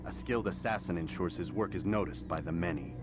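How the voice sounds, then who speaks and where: An actor playing a terse, proud young assassin in a medieval video game, stern and clipped in manner. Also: male voice, low voice, fast, background noise, close to an everyday voice. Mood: defiant.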